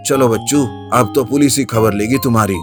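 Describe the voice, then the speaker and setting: Naive, kind-hearted cartoon cart driver played by a man: earnest, emotive, mid-pitched, with music behind. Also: moderate pace, close to an everyday voice. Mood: determined. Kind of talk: animated storybook character voice.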